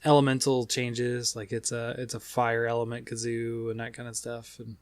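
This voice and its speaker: A man hosting a casual gaming podcast, talking in a rambling, halting way. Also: mid-range voice, monotone, clean tone, no room sound, clean studio sound. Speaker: podcast host.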